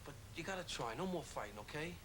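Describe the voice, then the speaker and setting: A man's voice: a little high, lively intonation, quick pace, close to an everyday voice. An actor playing an easygoing sitcom father coaxing his daughter. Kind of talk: 1980s sitcom acting. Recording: noisy old TV clip.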